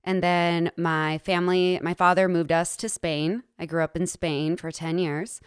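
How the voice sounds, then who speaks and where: A young adult woman from the United States, co-hosting a podcast and talking in a relaxed, matter-of-fact way. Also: fairly low pitch, unhurried, clean tone, no room sound, studio quality. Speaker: podcast co-host. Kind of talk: casual chat.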